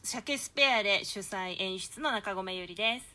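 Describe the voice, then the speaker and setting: A woman's voice: mid-range voice, moderate pace, sharp tone. A woman hosting a casual group chat as a podcast presenter. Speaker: host of a theatre company's talk podcast.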